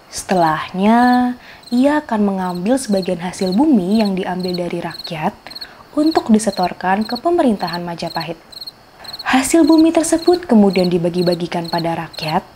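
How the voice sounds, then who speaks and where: A woman's voice: mid-range voice, warm tone, slightly rough. A woman telling a scripted children's story, expressively addressing her young listeners. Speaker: storyteller, host of a children's folktale show. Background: faint music.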